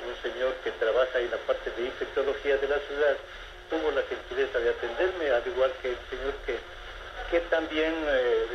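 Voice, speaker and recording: Older man calling in, echoey and muffled. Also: medium pitch. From Ecuador. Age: 70s. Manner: rambling, formal and polite, with many restarts. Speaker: caller. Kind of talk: phone-in complaint to a radio show. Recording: echoey, muffled phone-in with background noise.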